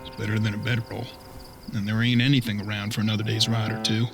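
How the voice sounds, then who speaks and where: A man's voice: fairly low pitch, flat delivery, fast, creaky, music in the background. A man playing an earnest, thoughtful bounty hunter's apprentice in a western audio drama, with folksy frontier talk. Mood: wary.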